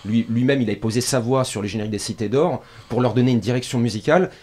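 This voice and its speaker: Fast-talking male show contributor. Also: medium pitch, darkish tone. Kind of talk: conversational anecdote telling.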